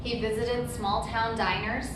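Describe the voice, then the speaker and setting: A woman reading a children's picture book aloud as a storyteller, with deliberate, emphatic phrasing and brief asides. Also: a little high, little pitch movement. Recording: very echoey room, distant mic, noisy background.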